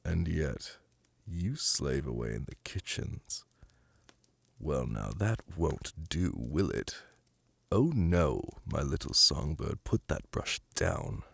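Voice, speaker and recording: A man's voice: very deep voice, big pitch swings, slow pace, vocal fry, slightly rough, no room sound, exaggerated voice. A man playing a commanding, seductive demon prince in an ASMR fantasy roleplay, speaking in a silky, formal and flirtatious way. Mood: tender, flirtatious. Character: commanding, seductive male demon prince, doting yet imperious.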